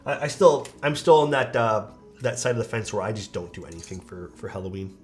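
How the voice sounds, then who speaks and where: A man's voice: on the low side, steady tone, a little fast, faint music behind. A young man with a stuffed-up, nasal voice, chatting casually on a live stream while he builds a keyboard and reads out chat. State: stuffed-up nose.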